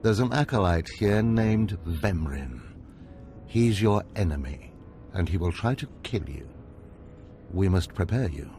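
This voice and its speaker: An actor playing a stern, scheming older Sith overseer in a video game, speaking in a commanding, measured, formal way. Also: male voice, low-pitched, animated, darkish tone, soft background music. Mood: stern, instructing.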